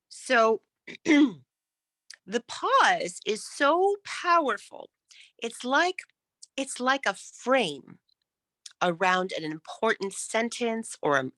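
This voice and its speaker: A woman's voice: medium pitch, fairly bright tone, no room sound. A woman coaching public speaking in an interactive lesson, encouraging and emphatic as she demonstrates.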